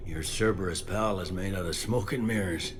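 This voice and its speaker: A man's voice: low-pitched, normal speed, slight background noise, close to an everyday voice. A man acting a plain-spoken, weary, stubborn police commander in a video game, with a folksy, blunt manner. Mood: grim, concerned.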